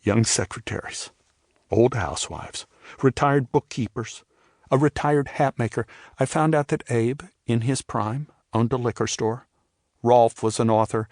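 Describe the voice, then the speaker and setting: A man's voice: medium pitch, darkish tone, dry recording. Reflective older man narrating, dry studio sound. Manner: reflective, measured storytelling. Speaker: narrator and playwright telling his own story. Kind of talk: scripted dramatic narration.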